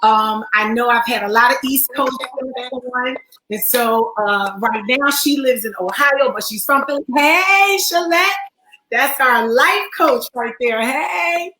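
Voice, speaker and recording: A woman with a raspy voice hosts a livestream talk show. She greets viewers by name and laughs often. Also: sits high, measured pace, bright, forceful tone, roomy sound, faint music behind, low-quality recording. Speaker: online talk show host. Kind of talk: welcoming livestream chat.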